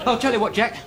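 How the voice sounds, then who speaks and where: An actor with a London accent playing a cheeky, matey working-class bus driver in a broad sitcom. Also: male voice, extremely high pitch, exaggerated voice. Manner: teasing, colloquial, exclamatory.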